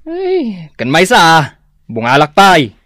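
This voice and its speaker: Low-voiced, grumbling reluctant spouse. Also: female voice, huge pitch swings, slow, fairly bright tone, no room sound, studio quality, full character voice. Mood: protesting. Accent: Filipino, Ilocano. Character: reluctant, self-conscious, grumbling spouse dragged into photos. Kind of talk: comedic radio drama acting.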